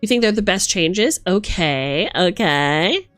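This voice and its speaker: Female streamer, theatrical and animated, highly varied pitch, casual live chat and read-aloud, dry sound over music. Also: slow pace, no room sound. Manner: animated, theatrical, reacting to chat as she reads. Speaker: streamer host. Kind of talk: casual livestream chat with reading aloud from a rulebook.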